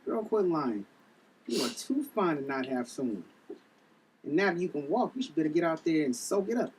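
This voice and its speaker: A man's voice: a little high, sing-song, moderate pace, a little mellow. Gym trainer male actor at table read: protective flirt, slangy, off-mic in a noisy room. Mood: teasing, flirty. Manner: casual slangy talk. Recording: noisy, distant room recording.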